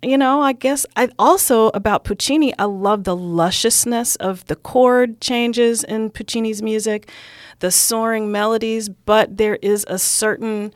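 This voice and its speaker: A middle-aged woman, a classical singer, talking as an interview guest in an expressive, enthusiastic way and laughing often. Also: on the high side, unhurried, no room sound, studio quality. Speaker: classical singer and stage performer.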